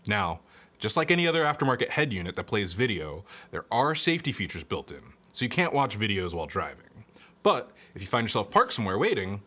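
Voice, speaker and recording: A man with a bright, lively voice presents a scripted product review. His intonation moves up and down freely as he explains features. He talks directly to the viewer in a conversational, instructive way. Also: medium pitch. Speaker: product reviewer and presenter for a car audio retailer.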